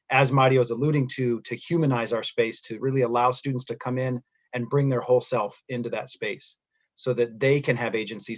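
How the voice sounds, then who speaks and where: A man from San Diego, a school principal, introducing himself on a panel in a measured, sincere way. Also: medium pitch, lo-fi sound. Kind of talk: unscripted introduction.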